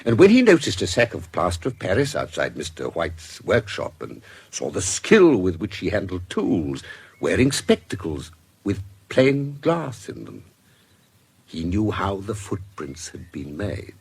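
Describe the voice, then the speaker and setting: A man with a British accent playing a shrewd, composed investigator in a radio drama, recounting a case in formal, measured, precise language. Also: low-pitched, wildly swooping pitch, husky, exaggerated voice. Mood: assured, explanatory. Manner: formal, measured, deliberate storytelling.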